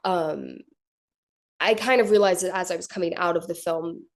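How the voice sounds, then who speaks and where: Young actress, relaxed filler-heavy chat, lowish voice. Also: female voice, normal speed. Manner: casual, chatty, filler-heavy. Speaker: actor. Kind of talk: press junket interview.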